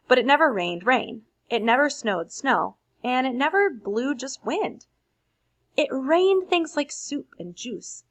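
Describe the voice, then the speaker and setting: A woman reading a picture book aloud to children in an expressive storytelling manner, adding simple, casual explanations. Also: fairly high pitch, husky, rough recording. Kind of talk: read-aloud picture book narration with casual asides to young listeners.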